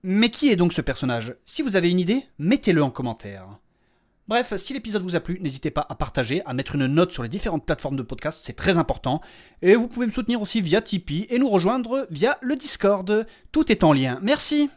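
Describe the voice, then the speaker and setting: A male podcast host delivers a scripted outro. His voice is high for a man and moves in an animated, varied melody. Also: brisk.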